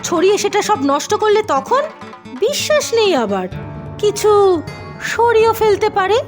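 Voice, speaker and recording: A woman's voice: very high-pitched, big pitch swings, normal speed, soft background music. A woman playing a haughty rich aunt in a cartoon, scolding and scornful. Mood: scornful, suspicious. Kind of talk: cartoon voice acting.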